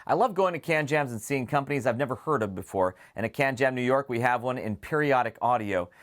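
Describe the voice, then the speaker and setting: A man's voice: mid-range voice, lively intonation, fairly quick, a bit bright. A man hosting a product preview, speaking to viewers in a detailed, enthusiastic, semi-scripted way. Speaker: presenter of an audio gear preview show.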